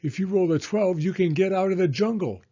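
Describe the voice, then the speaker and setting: Guy reading as a young boy in a kids story, earnest, plain, bit higher voice. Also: a little fast, warm tone, lo-fi sound. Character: earnest young boy playing a board game. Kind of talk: storybook character voice.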